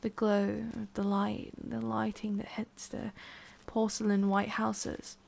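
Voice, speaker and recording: A woman voicing a haughty, prickly witch with a hidden soft side in an ASMR roleplay, soft and often whispery with a creaky edge. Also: mid-range voice, monotone, takes it slowly. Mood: wistful, nostalgic. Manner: soft, often whispery, breathy sighs, curt asides.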